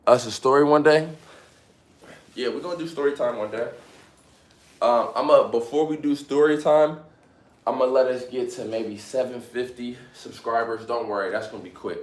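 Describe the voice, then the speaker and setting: A man's voice: mid-range voice, moderate pace, echoey room. A man in his late twenties talking casually on a live stream, giving motivational advice and often repeating himself. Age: 20s. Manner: repeats phrases, motivational, fillers like "you know what I'm saying".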